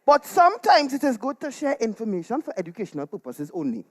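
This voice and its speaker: A woman's voice: a little high, big pitch swings, quick pace. A woman playing a gossipy village woman in a theatrical comic stage monologue, with a Trinidadian accent and emphatic, melodramatic delivery. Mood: gossipy, mock-serious. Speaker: dramatic monologue performer.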